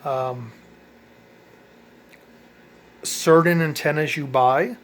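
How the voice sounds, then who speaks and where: A man's voice: fairly high pitch, a little slow, fairly bright tone. A man in a casual how-to vlog, chatting about his radio setup with lots of ums and self-corrections. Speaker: hobby radio vlogger.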